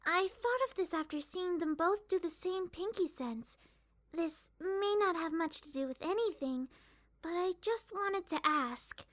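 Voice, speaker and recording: A woman voicing a timid, gentle young female pony in a dramatised story, hesitant and shy with stammers. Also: very high-pitched, measured pace. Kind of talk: dramatised fan fiction reading.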